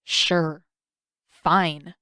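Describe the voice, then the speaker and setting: A woman's voice: low voice, steady tone, drawn-out words, sharp tone, clean tone, dry recording, studio quality, full character voice. A woman voicing a dramatic teenage brother in an audiobook story, grumbling and indignant with emphatic delivery. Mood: grumbling, sullen.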